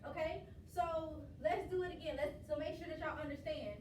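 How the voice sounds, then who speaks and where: Woman teacher, high voice, talkative, classroom, distant mic, echoey, noisy. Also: steady tone, a little mellow. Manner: talkative, quick, laughs often. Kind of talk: classroom lesson.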